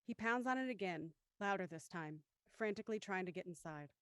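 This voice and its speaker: A woman narrator reading scripted audio drama stage directions in a measured, descriptive manner. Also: fairly low pitch, lively intonation, a bit bright, dry recording, studio quality.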